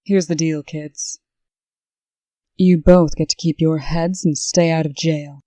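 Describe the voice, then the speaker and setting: A woman playing a stern, brisk official in a comic dub, speaking with dry, scolding authority. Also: low voice, fairly even intonation, warm tone, dry recording, poor audio. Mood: firm, commanding. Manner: crisp, authoritative, dryly scolding. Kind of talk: scripted comic-dub acting.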